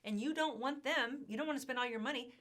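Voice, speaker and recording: A woman co-hosting an insurance news show, talking in a chatty, emphatic way with a slightly hoarse voice. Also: high-pitched, fast, a bit bright. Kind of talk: casual chat.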